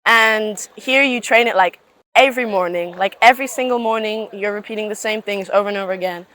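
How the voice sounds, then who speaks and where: A woman's voice: medium pitch, fairly bright tone. Chatty eighteen-year-old woman from Scotland. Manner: chatty, leans on "like" fillers. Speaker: student athlete. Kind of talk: casual testimonial interview.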